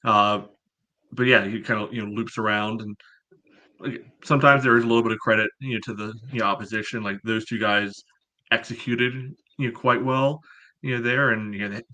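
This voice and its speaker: A man on a podcast panel casually analyzing a soccer match, haltingly, leaning on "you know" as a constant filler. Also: lowish voice, fairly even intonation, bright, forceful tone. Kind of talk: casual sports analysis chat.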